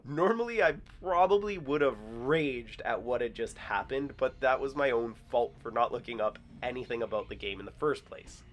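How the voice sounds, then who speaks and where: A young man talks through his first impressions of a game as host of his own channel. His voice is stuffy from a cold and sits at an ordinary middle pitch. He keeps it upbeat and pokes fun at himself along the way. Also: lively intonation, moderate pace, few pauses, a little hiss or hum. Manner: upbeat, self-deprecating.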